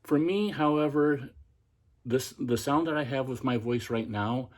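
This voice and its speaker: A man hosting a podcast, talking in a practiced feminine voice that is quiet and soft, with the pitch lifted by tightening his throat. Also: measured pace. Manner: pitch raised by tensing the throat. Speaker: podcast host. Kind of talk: casual instructional monologue.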